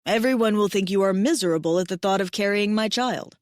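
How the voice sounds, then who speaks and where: A woman voicing a big, devoted alien male lover who speaks softly and formally. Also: medium pitch, fairly quick, no echo, studio quality, natural voice. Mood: tender. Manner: soft, earnest, formal phrasing.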